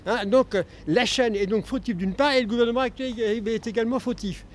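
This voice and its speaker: A man's voice: very high voice, fairly quick. A man interviewed at an outdoor market, indignant and stumbling over his words, speaking into a reporter's mic. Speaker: right-wing voter interviewed at a market.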